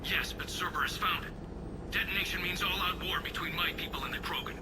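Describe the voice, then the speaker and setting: An actor playing an earnest, dutiful alien soldier in a video game, reporting in a formal military way. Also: male voice, high voice, fairly even intonation, brisk, lightly acted. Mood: tense, dutiful. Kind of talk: video game cutscene acting. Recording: clear vehicle noise behind the voice.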